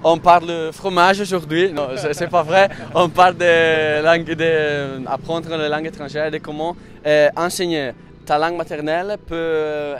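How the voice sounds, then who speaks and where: A man hosting a casual interview outdoors in a town, upbeat and jokey, with many fillers. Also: a little high. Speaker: interviewer, channel host.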